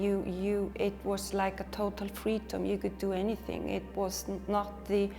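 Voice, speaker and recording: Icelandic-accented woman novelist, middle-aged, creaky and level delivery, thoughtful with restarts, reverb hall and music underneath. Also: mid-range voice, a little mellow. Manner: restarts, repeats words while thinking. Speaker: novelist, interview guest. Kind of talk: reflective conversational interview.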